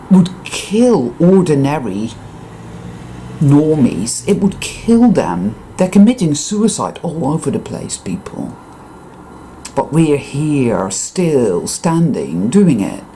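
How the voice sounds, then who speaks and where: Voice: mid-range voice, warm tone, a little hiss or hum, rough recording. A speaker with a Dutch accent, a native Dutch speaker, gives an intense, profane, emphatic monologue to viewers, repeating words for emphasis. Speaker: spiritual vlogger.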